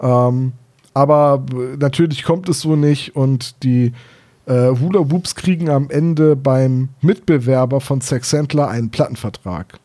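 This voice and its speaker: A man's voice: mid-range voice, a little slow, warm tone. A man chatting casually as co-host of a podcast about books and audio plays.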